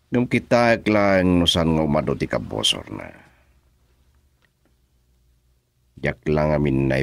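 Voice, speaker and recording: An actor playing a gruff, domineering boss in an Ilocano radio drama, brusque and scolding. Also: male voice, rumbling low, no room sound, exaggerated voice. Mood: brooding. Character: gruff, bossy male political operator barking at his driver.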